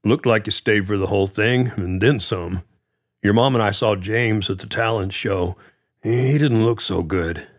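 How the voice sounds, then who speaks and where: A man's voice: on the low side, unhurried, dull audio, pitched above the performer's own voice. A man voicing a middle-aged father who talks in a plain, protective way.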